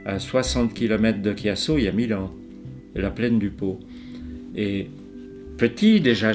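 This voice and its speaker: A man in his eighties, raised in Ticino, speaks French slowly and haltingly, often pausing and repeating words as he reflects on his life as a painter. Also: fairly low pitch. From Ticino, Switzerland. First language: Ticinese dialect. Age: 80s. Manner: slow, hesitant, many pauses and repeated words. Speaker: painter interviewed. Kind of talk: reflective interview answers.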